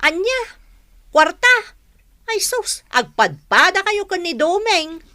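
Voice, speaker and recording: A woman with an Ilocano accent playing a lively, plain-spoken grown daughter and elder sister in a radio comedy drama. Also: very high voice, takes it slowly, no echo, studio quality, heavily acted. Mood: exasperated. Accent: Ilocano, northern Philippines.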